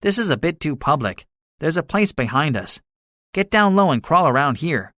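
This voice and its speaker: A man's voice: on the high side, big pitch swings, moderate pace, fairly bright tone, no echo, studio quality, voice pushed up. A man voicing a cynical, boastful soldier survivor in a dramatized story, speaking emphatically with a swaggering manner. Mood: urgent, wary. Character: cynical, boastful male soldier, excitable survivor with grand schemes. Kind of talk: dramatized audiobook dialogue.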